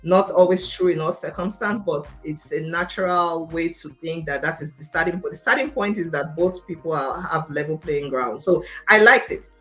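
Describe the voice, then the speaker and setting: A woman's voice: low voice, normal speed, hardly any pauses, fairly bright tone, faint music behind. A Nigerian woman with a confident, emphatic, passionate way of talking, telling her own story as an interview guest. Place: remote video call.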